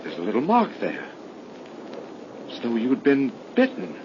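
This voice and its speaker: An earnest, troubled young man in a 1930s radio melodrama. His middle-pitched voice moves with great expression, and the recording is dull and full of old hiss. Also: huge pitch swings, a little slow. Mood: brisk, concerned. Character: earnest, anguished young man, a devoted brother. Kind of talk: 1930s radio horror acting.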